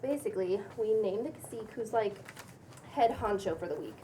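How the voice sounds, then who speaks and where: A woman's voice: mid-range voice, lively intonation, fairly quick, recorded from a distance, noisy background, natural voice. A woman at a table read playing an enthusiastic, breezy housemate who talks in a colloquial, reassuring way. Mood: breezy explaining. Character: bubbly, enthusiastic housemate with infectious laugh. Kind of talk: table read acting of a pilot script.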